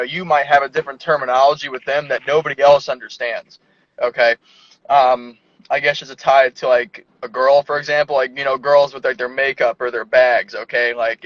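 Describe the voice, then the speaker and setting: A man's voice: mid-range voice, fairly bright tone. A man chats as a guest from inside a parked car, loose and rambling, leaning on "like" as he talks. The sound is close and dead, with no room echo. The recording is a little dull and lo-fi. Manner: loose, chatty, heavy use of "like". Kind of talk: casual chat.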